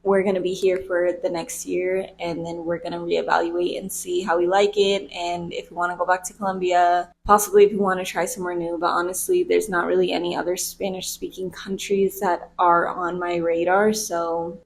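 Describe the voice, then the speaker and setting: A woman's voice: on the low side, level tone, normal speed, vocal fry, few pauses. A young woman from the United States talking candidly in a casual personal vlog, chatty and laughing easily. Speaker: vlogger.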